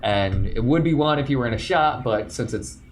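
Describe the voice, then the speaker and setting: A man's voice: mid-range voice, animated, moderate pace, a little hiss or hum. A man acting as game master, explaining dice rules in a methodical way with frequent ums and self-corrections.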